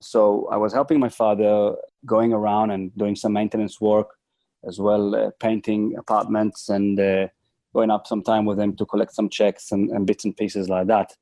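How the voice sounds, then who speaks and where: A middle-aged man from Israel, telling his story as a podcast guest, often pausing and restarting. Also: fairly low pitch, flat delivery, warm tone, dry recording. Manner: hesitant, frequent restarts and fillers. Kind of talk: casual interview storytelling.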